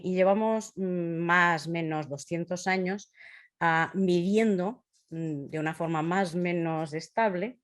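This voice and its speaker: Slow, low-voiced Portuguese-accented woman presenter. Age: 50s. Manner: halting, searching for words in a second language. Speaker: presenter leading a talk on impact measurement. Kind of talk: informal presentation with slides.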